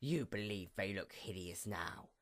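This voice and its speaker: A performer voicing a bitter, vengeful pony goddess of the night in a grandiose, formal dramatic monologue that moves between outbursts and hushed menace. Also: low-pitched, lively intonation, a little slow, full character voice. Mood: cold, menacing. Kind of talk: dramatic reading, theatrical villain monologue.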